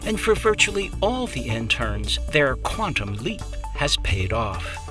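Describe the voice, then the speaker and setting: Lively documentary narrator over music. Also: medium pitch, a little slow. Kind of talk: scripted narration. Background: music bed.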